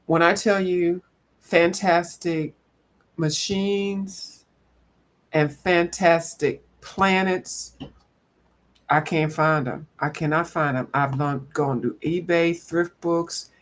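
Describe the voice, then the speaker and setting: A woman's voice: fairly low pitch, fairly even intonation, slow. A woman chatting casually to viewers in a folksy, rambling way. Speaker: coloring channel host. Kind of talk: casual chat to viewers about coloring books.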